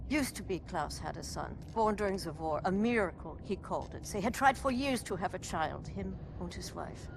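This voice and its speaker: An actress playing a sombre, hardened resistance leader in a game, speaking in measured, grave, clipped phrases. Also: female voice, fairly low pitch, expressive, vocal fry, noisy background. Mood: sorrowful, grave.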